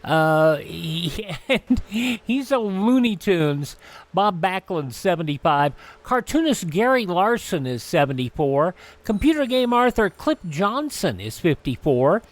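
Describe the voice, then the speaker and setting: A man's voice: high voice, big pitch swings, takes it slowly. A man hosting a radio show, reading through an almanac in an announcer-like way with casual asides. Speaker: radio host.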